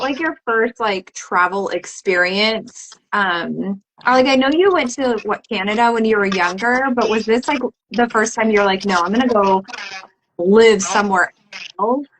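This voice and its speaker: A woman's voice: medium pitch, a bit bright, a little hiss or hum, low-quality recording. A woman hosting a podcast, talking enthusiastically with a guest and laughing easily. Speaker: podcast host. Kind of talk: casual podcast interview.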